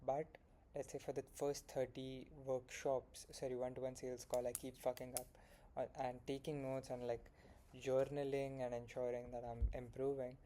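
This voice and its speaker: Flat-voiced Indian man rambling late at night. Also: medium pitch, moderate pace, a little hiss or hum, low-quality recording. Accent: Indian English. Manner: rambling, frank, with fillers and self-corrections. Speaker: solo founder vlogging his progress. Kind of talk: late-night casual vlog monologue.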